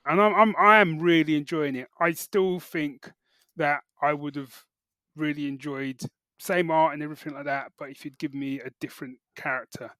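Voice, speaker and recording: A man's voice: fairly high pitch, fairly bright tone, no echo. A man co-hosting a podcast, giving casual, opinionated reviews in colloquial speech peppered with filler phrases. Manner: colloquial, opinionated, peppers talk with "you know" and "like". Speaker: podcast co-host.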